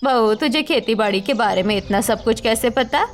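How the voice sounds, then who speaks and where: A woman's voice: fairly high pitch, moderate pace, a crowd in the background, lightly acted. A woman voicing a weary, concerned older village mother-in-law in a cartoon story. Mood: resigned, curious. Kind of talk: cartoon moral story acting.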